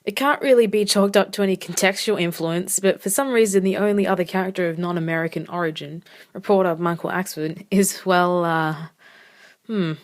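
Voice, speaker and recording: A woman's voice: lowish voice, brisk. Young woman narrating a scripted video essay. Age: young adult. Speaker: student presenter.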